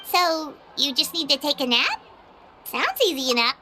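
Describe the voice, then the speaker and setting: A woman voicing a tiny, childlike fairy companion in a video game, squeaky, excitable and inquisitive. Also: moderate pace, very noisy. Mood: perky.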